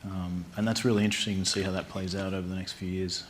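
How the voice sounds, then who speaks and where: A man's voice: low voice, level tone, fast, a little hiss or hum. A man speaking on a discussion panel, earnest, stammering at the start of sentences.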